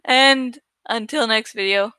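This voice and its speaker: A woman casually rambling as she edits artwork for her channel, thinking out loud, self-deprecating and swearing freely. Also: a little high, slow, smooth, dry recording. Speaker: video creator and host. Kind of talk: casual rambling commentary while editing artwork.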